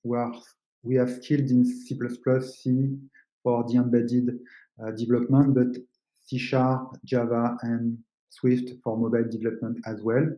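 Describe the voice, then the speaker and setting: A man giving a technical webinar presentation, explaining things in slightly halting English. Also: mid-range voice, little pitch movement, warm tone. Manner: explanatory, halting non-native English phrasing. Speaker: webinar presenter, company co-founder. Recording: roomy, echoing sound.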